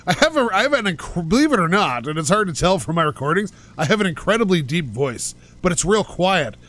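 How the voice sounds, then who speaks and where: A man hosting a driving game playthrough, pushing his voice out loud and energetic as he rambles and pokes fun at himself from his couch. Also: high voice, fairly quick. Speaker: gaming channel host. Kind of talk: casual let's-play commentary. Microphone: home microphone while playing on a couch.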